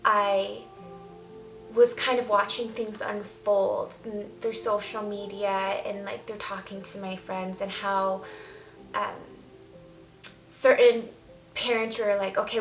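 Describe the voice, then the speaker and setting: A woman's voice: medium pitch, creaky. A woman speaks in a mid-range voice, sharing opinions in a casual vlog style. Her delivery is candid and emphatic. The room adds noticeable echo, and music plays faintly behind her. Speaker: vlogger. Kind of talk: casual opinion talk to camera. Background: soft background music.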